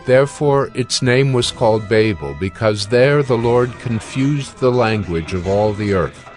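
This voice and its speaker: A man reading scripture as a narrator, with formal, measured storytelling. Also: mid-range voice, darkish tone. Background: faint music.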